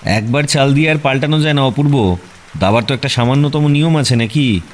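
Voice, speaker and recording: An actor playing a composed, wry detective with a dry, teasing, self-assured manner in a radio drama. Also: male voice, medium pitch, a little fast, close to an everyday voice.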